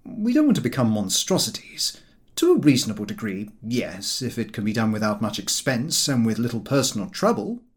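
A man's voice: medium pitch, very animated, a little fast, close to an everyday voice. A man voicing a pompous, self-assured married man who lectures his friends in an audiobook, declaiming as he goes. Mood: wry.

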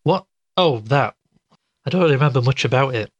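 A man's voice: medium pitch, lively intonation, brisk, slightly rough, dry recording, lo-fi sound. A man acting as a sceptical, tired podcaster in an audio drama, speaking in a casual, understated way. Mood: tired, subdued. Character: sceptical, tired male podcaster investigating a mystery.

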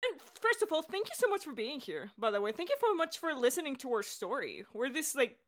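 A young woman hosting a casual podcast chat, talking in a bubbly, excitable and informal way. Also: sits high, brisk. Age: young adult. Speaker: director and voice actor hosting a Q&A.

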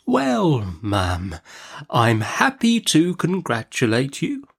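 A man voicing a mild, timid, easily flustered doctor in a storybook, with a soft, hesitant and deferential delivery. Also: medium pitch, wildly swooping pitch, takes it slowly, vocal fry, breathy edge, exaggerated voice. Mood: nervous, soothing.

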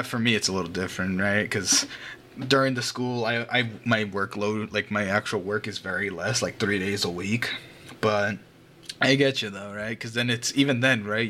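A man's voice: lowish voice, sharp tone, slight background noise. A young man hosting a casual podcast, rambling loosely, laughing often and swearing now and then. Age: young adult. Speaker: podcast host. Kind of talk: casual chat. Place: home room with a fan running. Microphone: home mic over a voice call.

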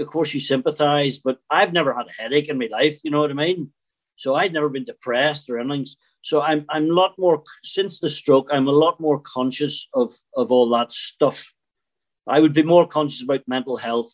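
A man aged about sixty-one with a Northern Irish accent, talking candidly and bluntly as an interview guest, with the odd mild swear. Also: mid-range voice. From Northern Ireland. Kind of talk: candid personal conversation.

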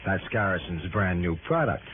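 A man's voice: sits low, animated, normal speed. An actor playing an easygoing, genial male companion to an investigator in a 1960s radio drama, folksy and teasing. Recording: noisy, narrow-band old radio broadcast.

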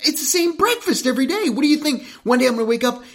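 Man co-hosting A man trades stories and teasing jokes on a podcast, his pitch swinging widely from line to line. He talks into a studio mic. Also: rapid-fire. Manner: animated, joking storyteller. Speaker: podcast co-host. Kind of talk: casual podcast chat.

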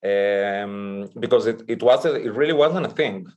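A man's voice: on the low side, a little slow, a little mellow. A man in his thirties from Israel and Chile, chatting informally as an interview guest and telling personal stories. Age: 30s. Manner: informal, mild swearing. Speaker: podcast guest, toy artist. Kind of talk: casual interview chat, personal storytelling.

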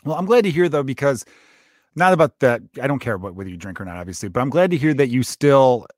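A man's voice: medium pitch, lively intonation, quick pace, dry recording, studio quality. A man hosting a podcast interview, asking questions in a rambling, joking, self-deprecating way. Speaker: podcast host. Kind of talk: casual interview chat.

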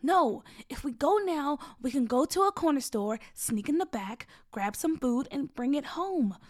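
A woman's voice: sits high, animated, a little slow, pitched above the performer's own voice. A woman voicing a bossy, anxious young girl in a story, urgent and insistent as she speaks. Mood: pleading, insistent. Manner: insistent, urgent, repeats commands.

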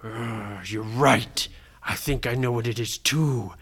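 A man voicing a villainous pirate captain in a bedtime-style story, with a slow, taunting drawl and sinister chuckles. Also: mid-range voice, a bit bright, husky, voice pushed down, heavily acted. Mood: suspicious. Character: villainous male pirate captain, gloating and menacing.

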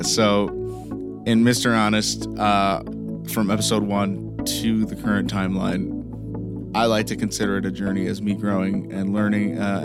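A man with a raspy voice hosts a podcast intro, rambling with lots of ums and uhs. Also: on the low side. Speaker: podcast host.

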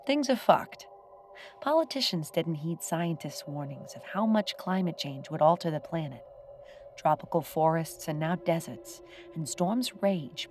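Female narrator, low register, wry scripted delivery, light music bed. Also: darkish tone. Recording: faint music behind the voice.

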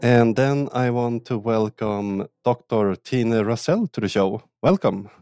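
A man's voice: medium pitch, measured pace, over loud music. A man hosting a podcast, reading a lightly joking intro, then interviewing his guest. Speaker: podcast host.

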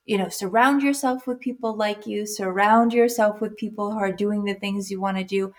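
A woman hosting a podcast in a mid-range voice. She chats casually with her guest, asking long, winding questions. She backs the guest up with frequent quick agreements. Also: mid-range voice, fairly even intonation. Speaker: podcast host. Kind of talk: casual interview chat.

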